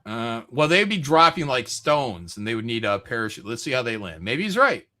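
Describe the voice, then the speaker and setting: A man's voice: medium pitch, big pitch swings, normal speed, pushed and bright, slight background noise. A male streamer chatting casually in reaction to game cut scenes, blunt, slangy and sceptical.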